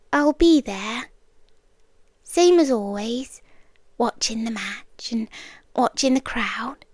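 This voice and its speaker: Woman acting a high, whispery otherworldly stalker girlfriend. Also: no room sound, full character voice. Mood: tender, musing. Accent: British. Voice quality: soft, breathy, often slipping into whispers. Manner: slow, deliberate, intimate, teasing. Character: otherworldly, possessive stalker girlfriend watching humans, eerily tender. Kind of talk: yandere ASMR roleplay.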